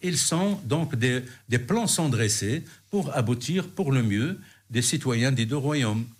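A man's voice: medium pitch, animated, takes it slowly, slightly rough. Hesitant Moroccan-born man, reflective. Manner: hesitant, many restarts and fillers. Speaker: guest. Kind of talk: interview answers.

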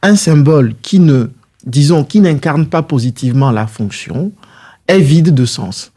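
A man's voice: on the high side, lively intonation, measured pace, dark tone. A man answering interview questions as a political guest, in a didactic, structured and rhetorical way.

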